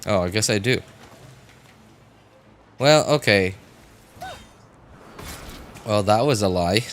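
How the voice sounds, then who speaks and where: A man doing casual live gameplay commentary as a streamer, chatting to viewers in a halting way and muttering to himself as he plays. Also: on the low side, expressive, slight background noise. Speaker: game livestreamer.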